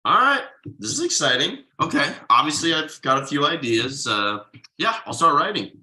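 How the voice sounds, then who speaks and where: A man playing Thomas, an earnest, frugal writer who gets excited easily, in a table read of a TV pilot script. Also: a little high, normal speed, exaggerated voice.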